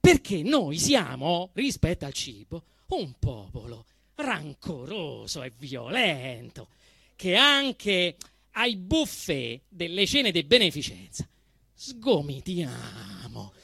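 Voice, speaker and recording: Male, Roman accent, stage satirist. Bright, forceful voice, big dynamic swings from deadpan to indignant, dry sound. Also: fairly high pitch, takes it slowly, gravelly, slightly rough, dry recording. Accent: Roman Italian. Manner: emphatic, swinging from hushed deadpan to shouted outrage. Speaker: actor performing a comic monologue. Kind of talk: theatrical satirical monologue to a live audience.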